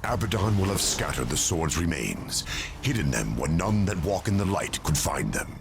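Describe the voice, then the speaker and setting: A man playing an ancient, weary, remorseful angel of death in a video game, with a gravelly voice and slow, grave delivery. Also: very animated, noisy background, heavily acted. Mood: grave, urgent. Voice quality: gravelly, very deep. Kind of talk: dramatic video game cutscene acting. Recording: loud orchestral score behind voice.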